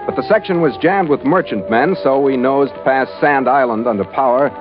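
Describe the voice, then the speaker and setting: A man's voice: medium pitch, normal speed, lightly acted. A man playing a hard-boiled sea captain, dull recording. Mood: terse, businesslike. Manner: clipped, hard-boiled, terse. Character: tough, suspicious male sea captain, gruff and wary. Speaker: lead actor and narrator. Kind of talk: 1940s radio acting, first-person narration of a ship's log. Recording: dull vintage radio recording, loud music.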